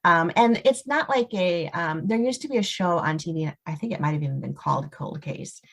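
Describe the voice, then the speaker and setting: A woman with a slightly low-pitched voice talks as an interview guest. She explains in a conversational, storytelling way, walking through examples casually. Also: animated, brisk, vocal fry. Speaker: guest novelist.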